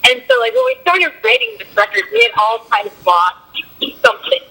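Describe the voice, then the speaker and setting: A young woman in a band, a bit hoarse from a cold, answering interview questions in a loose way with lots of fillers. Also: extremely high pitch, wildly swooping pitch, brisk, pushed and bright, poor audio. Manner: loose, filler-heavy talk with frequent likes and ums. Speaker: band member.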